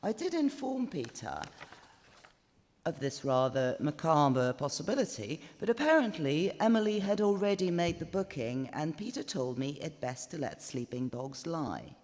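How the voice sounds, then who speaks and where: A woman's voice: deep voice, sing-song, normal speed, darkish tone. A woman voicing a pedantic, skinny moustached man who lectures and digresses pompously, in a live story reading. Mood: pompous, fussy. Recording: live in echoey stone chapel, long reverb.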